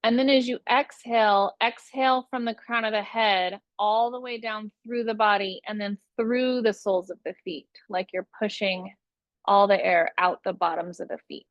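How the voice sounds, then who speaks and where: A woman's voice: medium pitch, fairly even intonation, no room sound, highs cut off. Woman guiding meditation, very dry close sound. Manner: slow, soothing, unhurried instruction with long pauses. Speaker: yoga nidra meditation teacher. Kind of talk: guided relaxation meditation.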